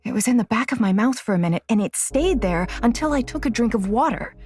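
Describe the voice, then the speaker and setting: Actress as young blind psychic, female student, higher voice, sarcastic, easily exasperated, audio drama with music underneath. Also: expressive, fast, a little mellow, natural voice. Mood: agitated, confused. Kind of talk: naturalistic audio drama acting.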